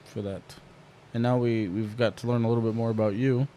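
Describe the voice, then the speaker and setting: A man's voice: medium pitch, fairly even intonation, fairly quick, warm tone, a little hiss or hum. Laid-back, cursing male podcast host. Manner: laid-back, swears freely, jokey. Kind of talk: casual podcast chat.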